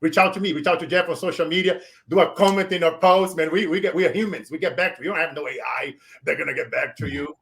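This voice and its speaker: A man in his forties with a Brazilian accent, hosting a show and giving emphatic motivational shout-outs. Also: fairly high pitch, fast talker, gravelly, hardly any pauses. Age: 40s. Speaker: show host. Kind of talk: motivational interview wrap-up.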